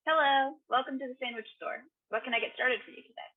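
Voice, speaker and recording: A woman plays a brisk, courteous sandwich shop worker in a radio play. Her voice is high and rather breathy, captured in a muffled lo-fi recording. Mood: polite.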